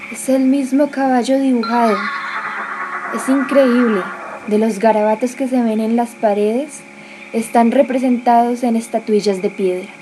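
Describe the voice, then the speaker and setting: A woman's voice: fairly high pitch, dark tone, lightly acted. A woman playing an awed explorer in a student radio drama, narrating discoveries with wondering questions and exclamations. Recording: noisy amateur recording.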